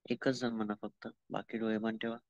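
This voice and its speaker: Meditation guide: Indian Marathi man, lowish voice, very flat intonation, unhurried and calm, giving gentle step-by-step instructions. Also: no room sound. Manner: calm, unhurried, with long pauses and repeated instructions. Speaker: meditation teacher. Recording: dull lo-fi audio.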